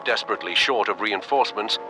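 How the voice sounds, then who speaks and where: An actor playing a grave wartime documentary narrator in a video game, portentous and deliberate. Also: male voice, on the low side, lightly acted. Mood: grave, dramatic. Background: music and battle sounds.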